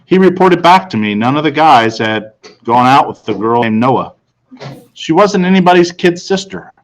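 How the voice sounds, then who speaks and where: A man's voice: fairly high pitch, expressive, moderate pace, rough recording. A man reading a first-person storybook narration aloud. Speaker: audiobook reader.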